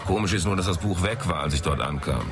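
A man as a deep, dry-witted detective narrator. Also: fast talker, a crowd in the background, lightly acted. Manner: dry, sardonic quips. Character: wry cigar-smoking male private detective. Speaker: first-person narrator and detective. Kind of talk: radio crime drama narration. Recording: studio radio play with music bed.

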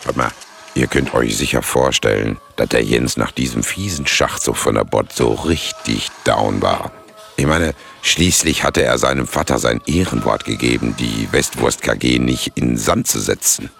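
Extremely deep, gravelly chummy storyteller. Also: male voice, moderate pace, fairly bright tone, faint music behind, exaggerated voice. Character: gruff chummy storyteller pal who brews herbal schnapps. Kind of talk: colloquial narration in German radio satire.

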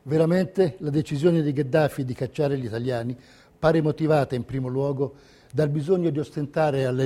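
A man narrating a documentary theatre piece, reading a script in measured, carefully separated phrases. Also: mid-range voice, dark tone.

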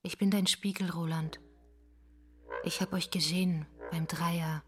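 A woman's voice: lowish voice, fairly even intonation, measured pace, a crowd in the background, lightly acted. An actress playing a pupil's mother in a radio drama, seductive and menacing, brazen and wounded, with a provocative, insinuating delivery. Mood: menacing, hushed. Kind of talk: stage-like radio drama dialogue.

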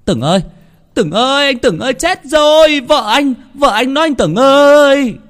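Man acting panicked high-pitched young village lad. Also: expressive, measured pace, fairly bright tone, heavily acted. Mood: panicked, urgent. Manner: stammering, breathless, repeating words.

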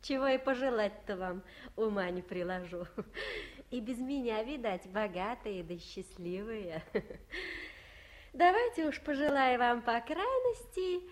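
An actress playing a gossipy young village wife in a 1950s radio play, talking in folksy rural speech and giggling often. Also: female voice, fairly high pitch, expressive, a little slow.

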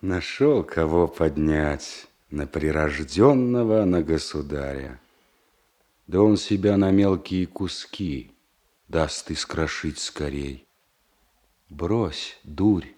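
An actor playing a wry, unctuous older courtier prince in a classical radio play. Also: male voice, very deep voice, expressive, slow pace, a little mellow, heavily acted. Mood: scornful. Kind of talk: classical stage acting for radio.

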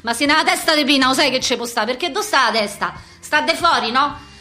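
A woman's voice: high-pitched, a little fast, bright, forceful tone. A woman playing a brash, foul-mouthed young street girl with a Roman accent, in a blunt, agitated theatrical monologue. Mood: agitated, indignant.

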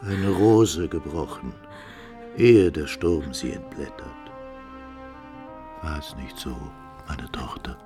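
A deep, grave tragic father. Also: slow pace, long pauses, heavily acted. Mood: broken, grieving. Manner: grave, declamatory, theatrical. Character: stern older father, honour-bound, anguished, defiant. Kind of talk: mid-century German radio play acting, classical tragedy. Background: music with brass and horns.

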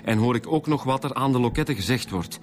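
A man's voice: mid-range voice, quick pace, close to an everyday voice. A man with a Flemish accent playing an eager, informal police inspector in a radio drama. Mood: eager, persuasive. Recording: music behind the voice.

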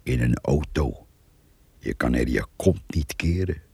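An actor playing a gruff, gossipy farmer who narrates a radio drama in a slow, musing, grumbling manner. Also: male voice, a bass voice, lively intonation, darkish tone, dry recording, exaggerated voice. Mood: wry, gossipy. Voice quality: gravelly, husky. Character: gruff rural farmer narrating his diary, gossipy and wry.

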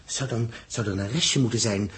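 An actor playing a brusque, secretive, stubborn spaceship technician in a 1960s radio drama, defensive and halting when pressed. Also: male voice, mid-range voice, slight background noise, natural voice. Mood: intent, fascinated. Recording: muffled, indistinct old radio recording.

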